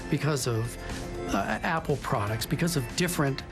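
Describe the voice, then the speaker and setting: Gravelly-voiced man in interview. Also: medium pitch, normal speed, music in the background. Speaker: interviewee on radio drama. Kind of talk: conversational interview commentary.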